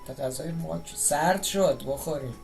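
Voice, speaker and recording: A man's voice: a little high, rapid-fire, gravelly, music in the background. A man who speaks Persian natively, giving joking, sarcastic casual commentary as he streams a game.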